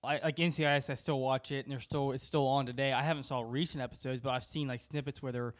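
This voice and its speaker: A man with an American accent musing in a halting way during a casual podcast conversation. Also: medium pitch, steady tone. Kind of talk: casual group chat.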